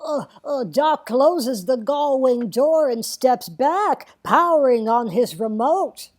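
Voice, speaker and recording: A woman voicing a sweetly naughty elderly lady who narrates a comic script reading, halting and deliberate, with sly asides. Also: high voice, lively intonation, exaggerated voice. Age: older. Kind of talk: screenplay table-read narration.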